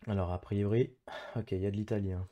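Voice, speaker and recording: A man's voice: on the low side, little pitch movement, a little fast, highs cut off. A man commentating casually on his own online geography game, thinking aloud in hesitant, piecemeal phrases as he plays. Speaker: gamer playing an online geography guessing match.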